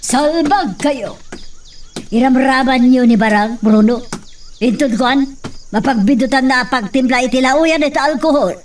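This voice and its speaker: A woman's voice: high-pitched, measured pace. A woman with an Ilocano accent playing a scolding, wealthy lady of the house in a radio drama, heard from a distance. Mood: scolding, alarmed. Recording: distant mic, faint noise.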